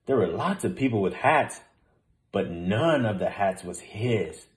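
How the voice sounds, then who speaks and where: A man's voice: lowish voice, expressive, unhurried. A man reading a children's picture book aloud as narrator. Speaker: storybook reader. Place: small room at home, indoors.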